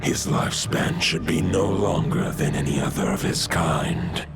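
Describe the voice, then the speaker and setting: Ancient wolf spirit king for a fantasy game: very low, slow, solemn, formal speech, loud music underneath. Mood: grave, reflective. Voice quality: gravelly. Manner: solemn, formal, archaic phrasing. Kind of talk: video game voice acting.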